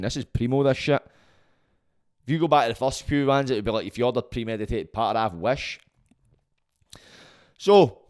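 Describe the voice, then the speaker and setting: A Glaswegian man hosting his own podcast, rambling and swearing freely in a loud, booming voice. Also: clean tone. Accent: Scottish, Glaswegian. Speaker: podcast host. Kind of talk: casual monologue.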